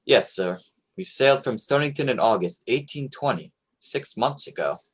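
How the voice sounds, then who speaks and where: A man's voice: mid-range voice, expressive, moderate pace, husky. A man playing a young American sloop captain in a radio play, plain-spoken and commanding, with an American accent. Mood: respectful, confident. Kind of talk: radio play acting, 1940s style.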